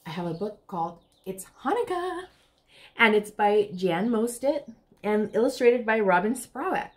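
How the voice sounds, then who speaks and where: A woman's voice: mid-range voice, moderate pace. An American woman, around her thirties, reading aloud expressively as the host of a children's storytime. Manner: addresses young listeners, expressive picture-book reading.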